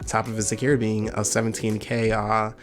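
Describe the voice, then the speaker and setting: A man's voice: lowish voice, level tone, soft background music. A young man hosting a card game channel, giving casual gameplay commentary with a slightly nasal voice from a summer cold. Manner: fast, upbeat, casual gamer talk.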